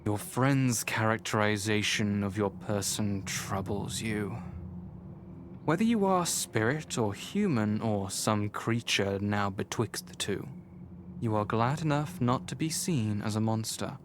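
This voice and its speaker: A man performing a grave storybook narrator for a fantasy game, speaking formally in a lowish voice at an unhurried pace. Background ambience and noise run clearly under the voice. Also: close to an everyday voice. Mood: solemn, measured. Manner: measured, deliberate, formal. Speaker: narrator of a visual novel. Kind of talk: scripted narration, second person.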